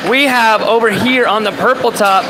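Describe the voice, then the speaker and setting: A man's voice: high voice, moderate pace, noisy background. A man hosting a livestream, giving upbeat, chatty play-by-play of air hockey matches in an arcade. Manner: upbeat, chatty, calls plays on the fly. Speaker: air hockey commentator and host. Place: indoor downtown arcade.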